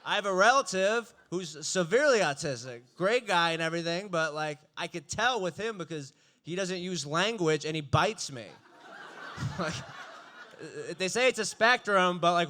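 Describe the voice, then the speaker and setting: A young man doing a stand-up comedy set, with a dry, deadpan delivery that breaks into laughs. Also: on the high side, clear, resonant tone.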